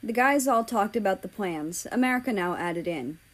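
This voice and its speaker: A woman's voice: mid-range voice, animated, normal speed. A woman narrating fan fiction in an audiobook style, acting out the dialogue between passages.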